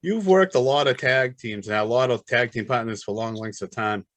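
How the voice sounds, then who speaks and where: A man's voice: mid-range voice, normal speed, a bit bright, no room sound. A man hosting a casual interview, asking a wrestler friendly, curious questions.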